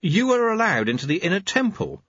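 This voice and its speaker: Hasty young novice, eager and easily panicked, voiced by a male narrator; slightly husky, dry. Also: sits high, big pitch swings, brisk, a bit bright, no echo, muffled recording, pitched above the performer's own voice, exaggerated voice. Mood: anxious. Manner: flustered, eager.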